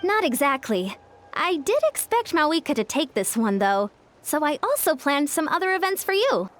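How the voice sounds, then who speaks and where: Lively high-pitched young game coach. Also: female voice. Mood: teasing. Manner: brisk, teasing, explanatory. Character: playful, confident young female course designer and coach. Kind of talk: anime game voice acting. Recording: faint music behind the voice.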